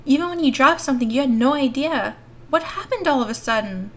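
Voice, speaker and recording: A woman's voice: high-pitched, expressive, brisk, voice pushed up. A woman voicing a worried, exasperated young female friend in a horror visual novel, calling out urgently. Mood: hurt, exasperated.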